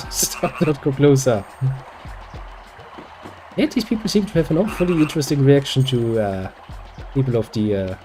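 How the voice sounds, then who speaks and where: A man's voice: mid-range voice, mellow, poor audio. A man reading game dialogue aloud and adding dry, sarcastic jokes as he plays. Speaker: gameplay video host.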